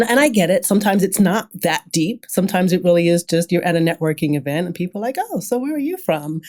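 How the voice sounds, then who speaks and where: Low-voiced woman, candid storyteller. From grew up in London, then Brooklyn. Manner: candid, animated storytelling, acts out quoted remarks, often chuckles. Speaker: podcast guest. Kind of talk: casual interview conversation.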